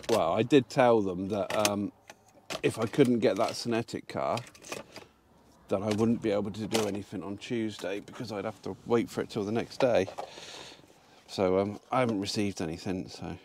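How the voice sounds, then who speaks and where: A man's voice: lowish voice, moderate pace, noisy background. A man chatting casually about his day while parked in his truck cab, rambling with fillers and restarts. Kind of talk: casual vlog chat.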